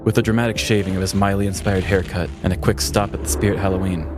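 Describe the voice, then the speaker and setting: A man with a low voice narrates a comedic scripted tale. He tells it with dramatic storytelling delivery, and music plays clearly underneath. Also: fairly even intonation, fast talker. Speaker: narrator and podcast host.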